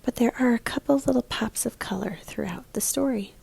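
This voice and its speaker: A woman art teacher leading a picture book lesson for young children in a guiding way, with a breathy, husky voice. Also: fairly high pitch, brisk, darkish tone. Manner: guiding, prompts listeners with questions.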